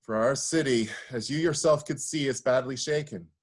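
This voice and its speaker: A man's voice: mid-range voice, moderate pace, slightly rough, natural voice. Man as grave, pleading priest of Zeus. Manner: earnest, oratorical. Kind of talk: staged reading of Greek tragedy.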